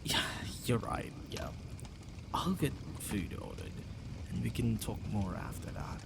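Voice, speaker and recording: Man acting a gravelly, murmuring vampire lord. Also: lowish voice, animated, a little slow, heavy background noise, heavily acted. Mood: flustered, tender. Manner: hesitant, sighing, murmuring to himself. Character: possessive male vampire lord, flustered, secretly tender. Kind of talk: ASMR boyfriend roleplay audio.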